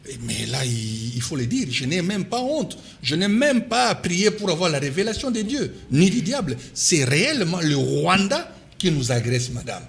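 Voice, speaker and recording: This is a Congolese man giving political commentary as an interview guest. His voice sits a bit high and swings widely as he drives his points home. He is insistent, repeating himself for emphasis. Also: big pitch swings, normal speed, creaky, hardly any pauses.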